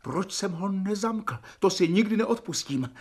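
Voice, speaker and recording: An actor playing a frantic, self-reproaching assistant with a husky voice in a radio drama. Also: medium pitch, animated, natural voice. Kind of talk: theatrical radio drama acting.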